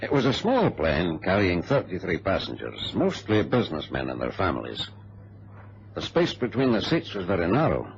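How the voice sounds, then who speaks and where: A man playing a passenger who gravely narrates a radio drama, heard on a muffled old recording. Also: sits low, slight background noise, exaggerated voice. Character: male passenger gravely recounting a hijacked flight.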